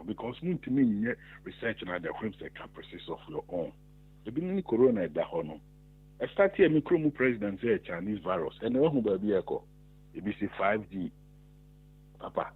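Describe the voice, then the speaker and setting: A man's voice: on the low side, breathy edge. A man with a Ghanaian accent, calling into a radio talk show and arguing emphatically while mixing Twi and English. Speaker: radio caller. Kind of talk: phone-in discussion. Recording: tinny phone line.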